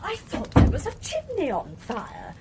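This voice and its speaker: High, fluting English wife. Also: female voice, wildly swooping pitch, measured pace, very noisy, exaggerated voice. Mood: inquisitive. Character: mild, inquisitive English village wife. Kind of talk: radio comedy drama acting.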